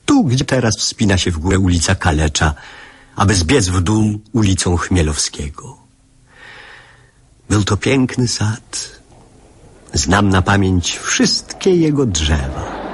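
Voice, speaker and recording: An actor playing a wistful father narrating his childhood memories in a literary radio play, with a husky voice. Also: low-pitched, sing-song, slow pace, long pauses, heavily acted.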